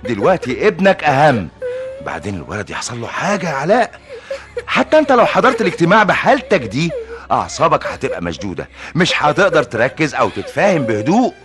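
Calm egyptian coworker, talks fast, voice goes up n down a lot. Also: male voice, on the high side. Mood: persuasive. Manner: calm, persuasive. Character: level-headed male engineer colleague. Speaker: colleague.

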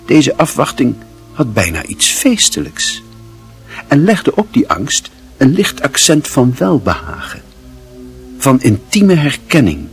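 A man narrating a literary radio drama in a solemn, measured and expressive manner. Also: medium pitch, faint music behind, full character voice.

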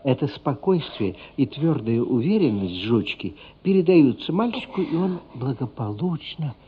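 An actor playing a grave storyteller, narrating a radio play with deliberate, theatrical, suspenseful phrasing. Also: male voice, mid-range voice, very animated, a little hiss or hum, close to an everyday voice. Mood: measured. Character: grave, dramatic storyteller of a childhood tale. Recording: muffled radio drama recording.

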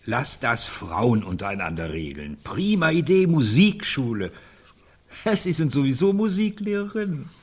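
A man's voice: mid-range voice, sing-song, normal speed, breathy edge. An actor playing a chummy, somewhat prurient older man who muses and justifies himself in a German radio drama. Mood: chummy, musing.

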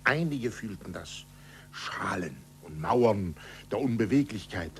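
Impassioned scholar, very animated. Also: male voice, on the low side, measured pace, a little hiss or hum. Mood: eager teaching. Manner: didactic, emphatic. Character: passionate, impatient scholar and teacher, wryly mocking. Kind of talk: 1940s German radio play acting.

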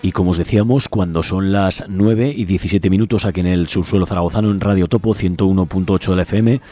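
A man's voice: deep voice, quick pace, mellow. A man with an accent from Spain, hosting community radio and reading news headlines. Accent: Spanish, from Spain. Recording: music bed behind voice. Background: background music.

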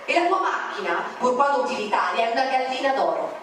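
High-voiced woman declaiming poetry. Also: big pitch swings, fast talker, fairly bright tone. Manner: declamatory, indignant. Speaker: narrator. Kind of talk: recited poetry narration. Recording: very echoey, distant, music behind.

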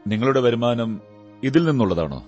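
A man playing a shrewd, self-assured detective in a radio drama, speaking in a deliberate, courteous, analytical way. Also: mid-range voice, animated, darkish tone, lo-fi sound, close to an everyday voice. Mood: probing, businesslike. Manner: deliberate, deductive, theatrical delivery. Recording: radio drama with music behind. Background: music.

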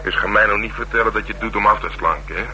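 A man's voice: lowish voice, rapid-fire, sharp tone, poor audio. An actor playing a world-weary, teasing male police detective in a radio crime drama, with a Netherlands Dutch accent and a dry, needling manner. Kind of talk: naturalistic radio drama dialogue. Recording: hissy, humming old radio recording.

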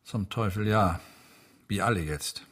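An actor playing a sober, weary murder investigator in a radio drama, speaking in a measured, reasoning way. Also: male voice, lowish voice, over music, natural voice.

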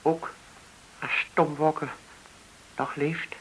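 Tense, stammering cornered banker. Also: male voice, fairly high pitch, little pitch movement, fairly bright tone, poor audio. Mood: pleading. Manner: halting, anxious, stammering. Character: frightened, cornered male banker pleading innocence. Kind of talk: radio drama acting. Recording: hissy old radio play recording.

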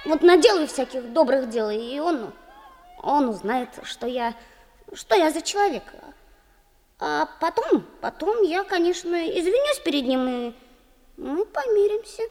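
A woman's voice: high-pitched, sing-song, unhurried, a little mellow, exaggerated voice. A woman playing a stubborn, pert young schoolgirl in a radio play, with a childlike manner. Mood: sulky, regretful. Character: cheeky, stubborn, self-righteous young schoolgirl.

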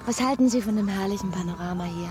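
A woman's voice: mid-range voice, quick pace, over music, natural voice. An actress playing a poised, guarded young society woman in a radio drama, coolly questioning and coy.

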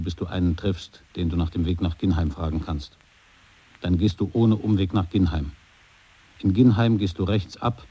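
An actor in an experimental radio play, speaking in short, calm, husky lines. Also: male voice, deep voice.

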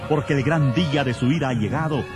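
A man's voice: medium pitch, fast talker, music in the background, close to an everyday voice. A man playing one of the terrified people hiding from divine wrath in a dramatized audio Bible, pleading desperately. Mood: desperate fear.

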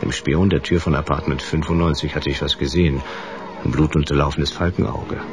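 An actor playing a world-weary, hard-boiled private detective in a noir radio play, laconic and sardonic. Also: male voice, extremely low pitch, fairly even intonation, fairly quick, noisy background. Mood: sardonic, narrating. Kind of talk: noir radio play with first-person narration.

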